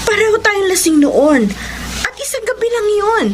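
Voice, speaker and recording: A high, animated female voice, as a flustered, pushy young mother in a Tagalog radio romance. She is exclamatory and emphatic, with faint music behind her. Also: moderate pace. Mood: defensive. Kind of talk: Tagalog radio melodrama acting.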